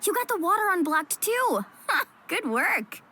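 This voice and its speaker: A woman's voice: extremely high pitch, sing-song, unhurried, sharp tone, exaggerated voice. A woman voicing an upbeat, friendly young surfer girl in a video game, with a breezy, casual manner. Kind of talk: animated game voice acting.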